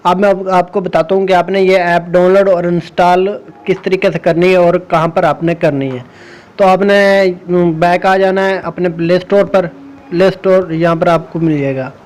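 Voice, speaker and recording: A man's voice: sits high, steady tone, normal speed, a little mellow. A man hosting an informal step-by-step phone app tutorial. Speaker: tech tutorial host. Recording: music playing behind the voice. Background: music.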